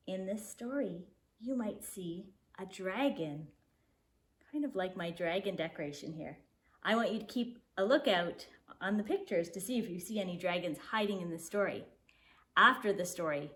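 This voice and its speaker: A woman's voice: mid-range voice, slightly rough. A woman talking and reading aloud expressively to young children as a kindergarten teacher, explaining things simply. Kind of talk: children's story time, expressive read-aloud.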